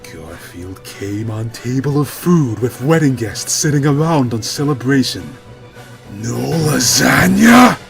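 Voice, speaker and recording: Animated male narrator of mock-epic comedy. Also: medium pitch, over music. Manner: deliberately stilted, mock-epic phrasing. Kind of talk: comic dramatic story narration.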